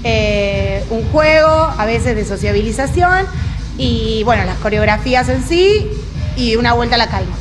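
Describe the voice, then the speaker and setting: A woman, a dance teacher answering interview questions in a relaxed, slightly hesitant way. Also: on the high side, normal speed. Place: dance studio. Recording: loud music behind. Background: dance music.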